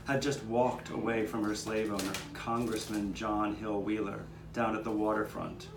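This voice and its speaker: A man performing first-person historical storytelling as the narrator of a live puppet show, playing a neighborhood eyewitness. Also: fairly low pitch. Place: outdoor courtyard performance. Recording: roomy, distant, noisy live courtyard recording.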